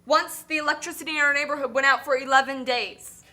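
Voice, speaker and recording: Woman doing outspoken struggling mom in a puppet show, high bright voice, indignant. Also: fairly even intonation, fast. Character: struggling Nicaraguan working mother, indignant and outspoken. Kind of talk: scripted puppet show dialogue.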